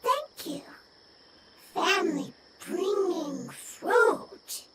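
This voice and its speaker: A woman's voice: extremely high pitch, expressive, very slow, heavy background noise, exaggerated voice. A woman voicing a small, simple, anxious wild creature for a video game, speaking in broken, clipped fragments. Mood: halting, worried. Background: loud game music.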